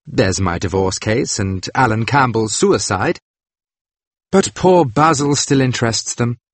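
A man's voice: expressive, unhurried, no room sound. A worldly, mocking nobleman, as a man puts him on for an audiobook, his voice lifted well above a plain man's register and swooping freely. There is a faint husk to it, and the sound is close and dry. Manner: airy, mocking, pitch swooping widely. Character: witty, languid aristocratic man, amused and teasing. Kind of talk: audiobook character voice.

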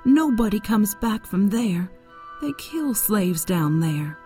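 A woman's voice: medium pitch, sing-song, slow, mellow, soft background music, voice pushed up. A woman voicing a grieving, worried wife who speaks sorrowfully in a storybook reading.

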